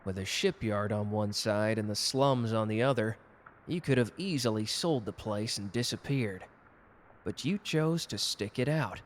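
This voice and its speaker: A man's voice: lowish voice, measured pace, slight background noise, lightly acted. A man playing a cocky, gallant and protective customer who flirts teasingly in a romantic roleplay audio drama. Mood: teasing, friendly. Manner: suave, teasing, intimate one-sided conversation.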